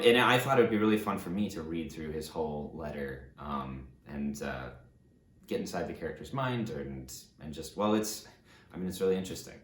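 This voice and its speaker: Male presenter, deep register, informal and self-deprecating delivery, recorded in a reverberant room. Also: fairly bright tone. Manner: self-deprecating, jokey, hesitant. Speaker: actor presenting a book reading video. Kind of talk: casual, rambling talk to camera. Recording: roomy, echoing indoor sound.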